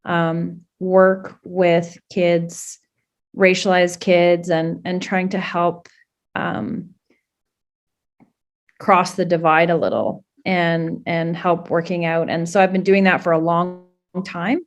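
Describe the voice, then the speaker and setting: A woman's voice: fairly low pitch, flat delivery, slow, dull audio. A woman in her forties, a political candidate, answering interview questions in an earnest, conversational way with many fillers.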